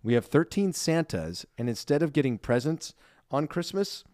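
A young man co-hosting a podcast, reading listener reviews in a lively, self-deprecating chat and laughing easily. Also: fairly high pitch, normal speed, no echo, studio quality. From Ireland. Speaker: podcast co-host.

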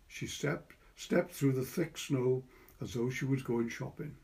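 A man's voice: medium pitch, measured pace, darkish tone. A man with a Welsh accent reading a literary short story as narrator. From Wales.

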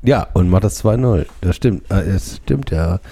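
A man's voice: deep voice, animated, measured pace, vocal fry, mellow. Playful stuttering male podcast host. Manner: playful, joking. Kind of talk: casual chat. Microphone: shared microphones.

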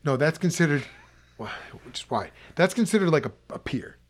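Higher-voiced man in his thirties, teasing. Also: expressive, brisk. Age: 30s. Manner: teasing, bantering. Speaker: podcast host. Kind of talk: casual chat.

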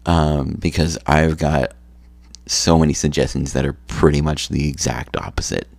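A tired, phlegmy man hosting a solo podcast, talking slowly and rambling with many ums and restarts. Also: steady tone, a little mellow, no room sound. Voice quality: gravelly, low voice. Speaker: podcast host.

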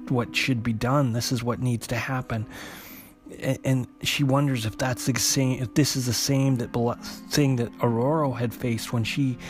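A man narrating an unscripted recap of a story as a host, in a halting way, often repeating and restarting his phrases. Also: mid-range voice. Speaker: host retelling a comic book story.

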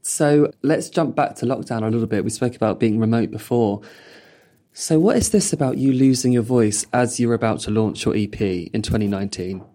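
A woman hosts a relaxed interview and reacts to her guest with enthusiasm. Her voice is mellow and dark, and she keeps the talk going with follow-up questions. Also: rumbling low, fairly quick. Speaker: interview host. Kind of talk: casual interview.

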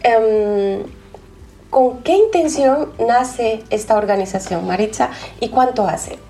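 A woman radio host speaks into a studio mic, her voice pitched fairly high. She is energetic, laughs easily and tumbles through her sentences in quick bursts. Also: rough recording. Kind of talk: lively radio interview. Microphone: studio microphone.

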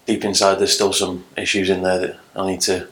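A man's voice: low voice, completely flat delivery, brisk, a bit bright, poor audio. A man speaking as a guest on a casual podcast, earnest and plain-spoken with frequent ums.

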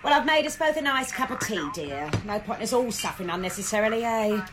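A woman's voice: a little high, animated, fast, noisy background, lightly acted. An actress playing a motherly, teasing working-class woman in a radio comedy-drama. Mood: cosy chatty. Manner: cosy, teasing, chatty. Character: chatty motherly working-class tea lady, cheerfully irreverent.

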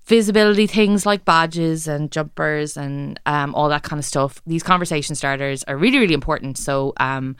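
A woman's voice: low-pitched, moderate pace, hardly any pauses, clean tone, no room sound, studio quality. A woman chatting as a podcast guest, laughing often and full of likes and restarts. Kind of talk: casual chat.

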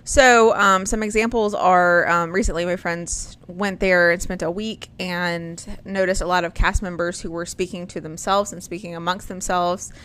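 A woman's voice: lowish voice, steady tone, moderate pace, hardly any pauses, fairly bright tone, clear, resonant tone. A woman, hosting a casual chat and rambling with lots of ums and likes.

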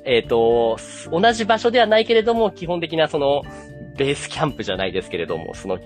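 Male podcast host, very lively swinging intonation, casual explanatory chat, halting filler-filled phrasing, soft music behind. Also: on the high side. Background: background music with guitar.

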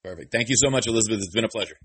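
A man's voice: lowish voice, rapid-fire, a bit bright, husky. A male interviewer asking long, rambling questions full of fillers. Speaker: entertainment interviewer. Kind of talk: press interview.

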